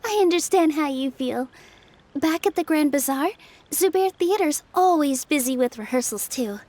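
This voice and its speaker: A woman playing a gentle, kind young dancer in a video game, reassuring and soft-spoken. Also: high-pitched, lively intonation, moderate pace. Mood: friendly. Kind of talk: video game cutscene acting. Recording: music bed behind voice.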